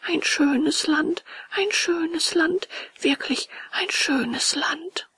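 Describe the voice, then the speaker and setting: A woman puts on an eager wooden puppet boy for a storybook. The voice sits high and leaps about with excitement. This naive child exclaims, repeats words for emphasis and keeps wavering. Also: a little fast, vocal fry, no echo, heavily acted, low-quality recording. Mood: dreamy. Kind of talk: storybook character dialogue.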